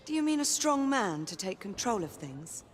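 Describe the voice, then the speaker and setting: An actress playing a self-assured female knight in a game drama. Her orders are brisk and crisp, her banter sardonic and teasing. Her voice sits at a middle pitch, with faint noise behind. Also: big pitch swings, lightly acted. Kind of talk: dramatic video game acting.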